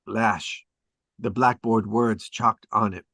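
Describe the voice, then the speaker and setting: A man's voice: fairly low pitch, fairly even intonation, slow, fairly bright tone, no room sound, studio quality. A man reading stage directions as dramatic scripted narration at a table read.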